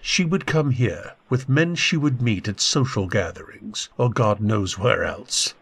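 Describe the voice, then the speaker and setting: A man playing a tormented, bitter, guilt-ridden husband with a husky voice, in old-time radio drama style. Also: medium pitch, animated, measured pace, a bit bright, heavily acted. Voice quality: husky, gravelly.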